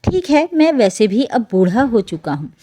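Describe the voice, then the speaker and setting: A woman voicing an ageing father in a story, an authoritative businessman with deep feeling under his sternness. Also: sing-song, moderate pace, mellow. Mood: firm.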